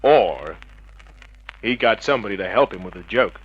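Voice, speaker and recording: An actor playing a wisecracking, cynical private detective with an American accent, dry and sardonic in a 1950s radio drama manner. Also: male voice, lowish voice, lively intonation, moderate pace, slightly rough. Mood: shrewd. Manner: dry, sardonic, hard-boiled. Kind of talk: 1950s hard-boiled radio drama acting and narration. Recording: muffled vintage radio recording.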